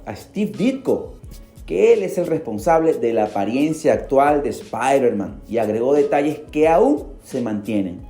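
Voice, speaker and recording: A man hosts a podcast and narrates a story in a dramatic, animated way. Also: fairly high pitch. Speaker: podcast host. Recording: roomy echo. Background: faint music.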